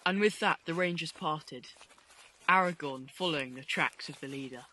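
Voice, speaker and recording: A man's voice: fairly high pitch, moderate pace, bright, forceful tone, a little hiss or hum. A man reading scripted storytelling narration for a fantasy audio play.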